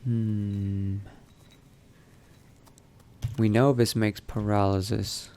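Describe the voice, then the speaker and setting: A man doing in-character game commentary, thinking aloud in a musing, unhurried murmur to himself. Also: lowish voice, level tone, warm tone, a little hiss or hum. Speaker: gamer narrating a roleplay playthrough.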